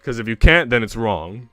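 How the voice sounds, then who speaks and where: A man's voice: medium pitch, fairly bright tone, clean tone, no room sound. A young American man chatting casually with livestream viewers in slangy, informal banter, swearing freely. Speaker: livestreamer and host. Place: indoor room at home. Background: music.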